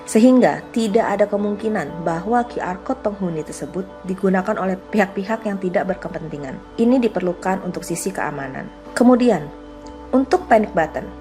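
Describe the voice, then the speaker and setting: A woman's voice: medium pitch, lively intonation, a little fast, mellow, faint music behind. A woman giving a scripted, explanatory product demo narration.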